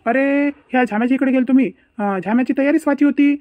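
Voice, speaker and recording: Woman as excuse-making village boy, cartoon comedy, hurried speech, Varhadi Marathi accent, excitable, close dry sound. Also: high-pitched, no room sound, phone-quality sound, lightly acted. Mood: curious, explaining. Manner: evasive, blustering.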